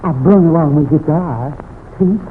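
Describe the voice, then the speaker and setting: Male actor as shiftless kindly country cousin, hesitant rustic drawl, higher-pitched, radio western, tinny old recording. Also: normal speed, slight background noise, natural voice. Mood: friendly, sheepish.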